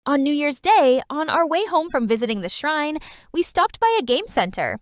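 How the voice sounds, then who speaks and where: A woman's voice: high voice, sing-song, moderate pace, dry recording, studio quality. A woman playing a cheerful late-night radio DJ who reads listeners' letters expressively in a manga dub. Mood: wistful reading. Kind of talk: radio presenting, anime-style dub.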